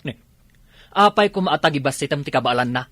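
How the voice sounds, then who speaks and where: A man's voice: on the high side, animated, a little fast, fairly bright tone, dry recording. A man playing an exasperated Ilocano village husband, scolding and nagging with challenging questions in a comedy radio drama. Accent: Filipino, Ilocano.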